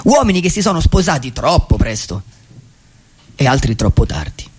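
An actor playing a wry, dreamy male vagabond in a poetic radio monologue, musing aloud with rhetorical questions and deliberate pauses in a husky, gravelly voice. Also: medium pitch, big pitch swings. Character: wry, dreamy male vagabond storyteller, society's gentle outcast.

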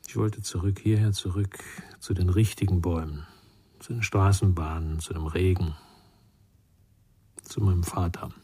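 An actor playing a gentle, melancholy man in a radio drama, speaking with subdued patience. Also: low voice, steady tone, normal speed, long pauses, husky. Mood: gentle, wistful.

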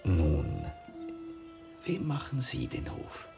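An actor playing an eccentric, meditating art master in a radio drama, deliberate and slyly probing. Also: male voice, low-pitched, huge pitch swings, creaky, recorded from a distance, loud music behind the voice, exaggerated voice.

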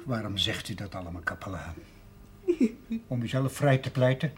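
A man's voice: lowish voice, normal speed, a crowd in the background, lightly acted. An actor playing a bitter, grieving father in a television drama, speaking reproachfully and with emotion. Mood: bitter, anguished.

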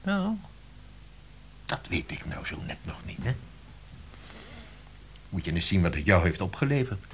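An actor playing a shrewd, teasing publishing boss in a radio drama, coaxing theatrically with pointed pauses. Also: male voice, low voice, wildly swooping pitch, slight background noise, exaggerated voice. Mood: persuasive, teasing. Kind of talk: Dutch radio drama acting.

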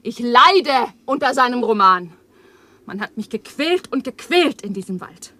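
An actress playing Eva, an overwrought and bitter young woman, in a radio play, speaking with impassioned swings from hushed to loud. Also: fairly high pitch, expressive, measured pace, exaggerated voice. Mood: intense. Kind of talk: 1980s radio drama acting.

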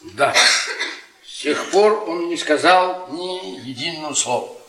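A worn-down, sardonic professor in a radio play. His voice is fairly high and bright, with a deliberate, theatrical storyteller's delivery. It sounds roomy and set back from the microphone. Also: animated, a little hiss or hum. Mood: grave, haunted. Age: middle-aged. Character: bitter, worn-down middle-aged history professor, sardonic storyteller. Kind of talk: Soviet radio theatre acting, dramatic monologue. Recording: roomy stage-like echo, distant from microphone.

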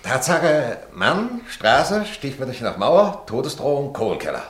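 An agitated army major in a radio drama. He barks terse, broken fragments with a pitch that leaps about wildly, in a roomy acoustic. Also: big pitch swings, unhurried. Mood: desperate, agitated. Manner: clipped, barking telegram-style fragments. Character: agitated army major who barely opens his mouth. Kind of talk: German radio play acting.

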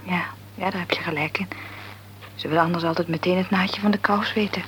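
A woman playing an upset young mother in a radio play, speaking hushed and breathy. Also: on the low side, fairly even intonation, fairly quick, slight background noise, lightly acted.

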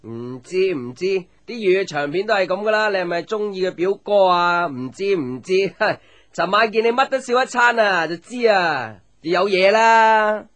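A man's voice: high-pitched, moderate pace. An actor with a Hong Kong Cantonese accent playing a playful, teasing young man, a caring friend, in a light conversational radio drama. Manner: teasing, joking, animated with exclamations. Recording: music under the voice.

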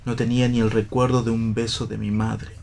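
A man's voice: mid-range voice, little pitch movement, fairly quick, vocal fry, darkish tone, slight background noise, close to an everyday voice. A man acting a philosophical vagabond husband in a play reading for radio, with declamatory, literary phrasing that is tender, bitter and ironic. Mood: tender, melancholy.

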